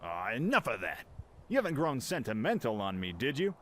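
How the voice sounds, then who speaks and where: A man's voice: medium pitch, wildly swooping pitch, fairly quick, a little hiss or hum. A man playing a brash cocky pirate prince. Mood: teasing. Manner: brash, swaggering, shouty. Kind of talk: fan radio drama acting. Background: music.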